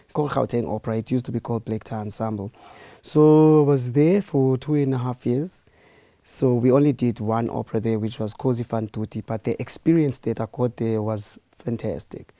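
A man's voice: mid-range voice, moderate pace, dry recording. A young man, an aspiring opera singer, telling his story casually in a studio interview. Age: young adult. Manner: relaxed storytelling with fillers.

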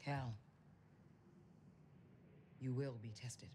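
A weary middle-aged woman mentor in a sci-fi game drama. She speaks earnestly and with measure, often hushed, haunted by her past. Clear background noise and ambience sit behind her voice. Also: very deep voice, fairly even intonation, drawn-out words, full character voice. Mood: solemn. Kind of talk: dramatic video game cutscene acting.

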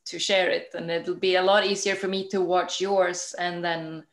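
A woman's voice: lowish voice, flat delivery, moderate pace. A woman sharing slides on a video call, friendly and a little apologetic. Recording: roomy webcam audio.

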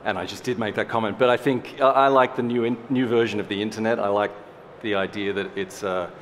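A man's voice: lowish voice, fairly even intonation, a little fast, a little hiss or hum. A man in his forties speaking as a panelist on a conference stage through a headset mic, candid and wry. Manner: candid, wry, with a casual swear. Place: large conference hall stage.

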